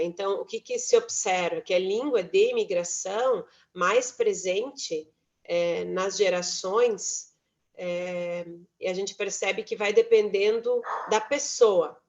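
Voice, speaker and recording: A woman lecturer giving an academic presentation, measured, with many tag questions and self-corrections, heard over a remote call. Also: medium pitch, darkish tone. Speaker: university lecturer presenting research. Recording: dull lo-fi online talk audio.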